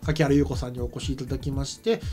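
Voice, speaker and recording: A man's voice: mid-range voice, fairly even intonation, fast talker, warm tone. A middle-aged man co-hosting a casual studio talk show, chatty and explaining his views at length at a table microphone. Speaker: talk show co-host, anime writer. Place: small studio, seated at a table. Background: background music.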